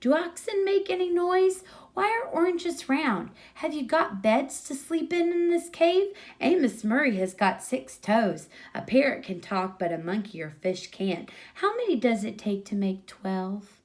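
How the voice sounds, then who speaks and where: A woman voicing a boisterous ten-year-old boy from a storybook, full of excitable, rambling chatter. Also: sits high, a little slow, pitched above the performer's own voice. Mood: excited chatter. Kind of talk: storybook character reading.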